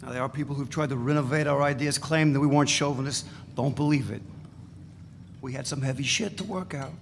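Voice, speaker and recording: A man's voice: fairly high pitch, vocal fry, fairly bright tone. A man playing a swaggering, unrepentant ex-radical reminiscing bluntly and profanely in a dramatic reading. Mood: blunt, provocative. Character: swaggering unrepentant male ex-radical reminiscing about guns and boots. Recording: noisy live reading in reverberant hall.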